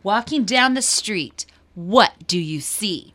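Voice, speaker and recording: A woman reading English listening-test dialogues with a clear, exaggerated teaching delivery. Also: medium pitch, expressive, slow, fairly bright tone. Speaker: dialogue reader.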